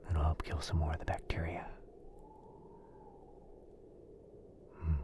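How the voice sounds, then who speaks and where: Gentle wizard healer for ASMR roleplay, played by a man: low, half-whispered, soothing and hesitant. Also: monotone. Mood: careful, focused. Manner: hesitant, murmuring, soothing, pausing often. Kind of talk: boyfriend ASMR roleplay, soft and intimate.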